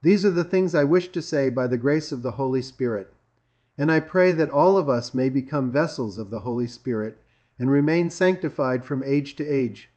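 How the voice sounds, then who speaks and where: A male preacher from Greece delivers a sermon with a voice of middling pitch. He presses his points by repeating them and asking question after question. The recording sounds dull and lo-fi. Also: animated, normal speed, darkish tone. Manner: emphatic, repetitive, with rhetorical questions.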